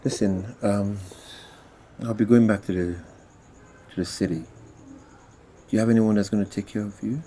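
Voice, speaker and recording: A man voicing a kind adult uncle who calms a crying child in a soft, hushed way. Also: on the low side, normal speed, long pauses, a little hiss or hum, natural voice. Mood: soothing. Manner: soothing, hushed, calming. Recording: faint music underneath. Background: music.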